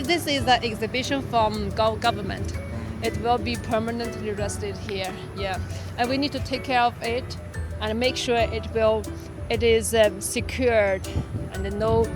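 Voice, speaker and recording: A woman's voice: fairly high pitch, steady tone, music in the background. A woman from Shanxi speaking English with a Mandarin accent, explaining a site with frequent restarts and fillers. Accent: Mandarin Chinese. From Shanxi, China. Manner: halting, self-correcting tour explanation. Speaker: hostel staff guide.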